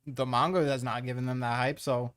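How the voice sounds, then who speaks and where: A man hosts a casual ranking commentary in a mid-pitched voice. He is blunt and opinionated, ranting with open exasperation and peppering his talk with swearing. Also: level tone, fairly quick, fairly bright tone, clear, resonant tone. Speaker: host of a manga ranking commentary.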